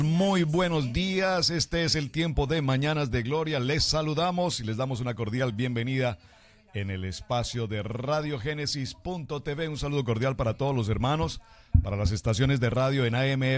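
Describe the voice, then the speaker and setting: A middle-aged man, a pastor, hosting a religious radio show with formal greetings and affirming interjections. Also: mid-range voice.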